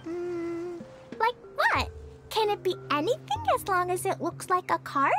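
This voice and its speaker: A woman voicing an eager, cheerful little girl mage in a video game, with excitable childlike delivery. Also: very high-pitched, a little slow, loud music behind the voice, heavily acted. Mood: curious.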